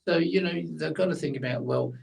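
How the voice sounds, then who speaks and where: Gravelly man, blunt and opinionated. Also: fairly high pitch, a little fast, distant mic, a crowd in the background, rough recording. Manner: blunt, opinionated, explains in plain terms. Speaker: co-host, tax commentator. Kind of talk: casual explanatory chat.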